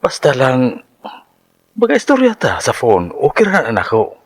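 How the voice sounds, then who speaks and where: A man's voice: mid-range voice, wildly swooping pitch, measured pace, heavily acted. An actor playing a pushy, amorous man of sixty-three in a radio drama, with a Central Visayan Filipino accent. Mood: nonchalant, flirtatious. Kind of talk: Cebuano radio drama acting.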